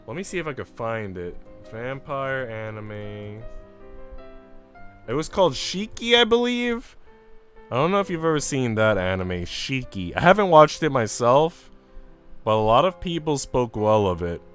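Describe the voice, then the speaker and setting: A man's voice: medium pitch, big pitch swings, unhurried, faint music behind. A man streaming a visual novel, switching between casual, slangy chat and reading the story aloud. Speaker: game streamer.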